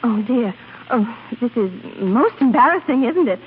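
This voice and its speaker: A woman's voice: a little high, expressive, moderate pace, narrow, tinny audio, close to an everyday voice. An actress playing a flustered, haughty young lady in 1930s radio drama style, hesitant and fluttery in manner. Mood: flustered, pretending. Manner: hesitant, fluttery, with nervous little laughs. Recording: thin, noisy 1930s radio recording.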